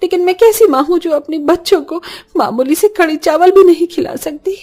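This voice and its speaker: Cartoon poor mother acted by a woman: high, earnest, expressive, gentle and devoted. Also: moderate pace. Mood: sorrowful.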